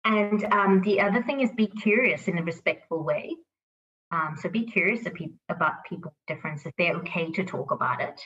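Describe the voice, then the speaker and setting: A woman from Sri Lanka, a team manager speaking slowly and thoughtfully, pausing often on fillers in a workplace conversation. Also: on the low side, fairly bright tone, dull audio. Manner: slow and thoughtful, with many fillers.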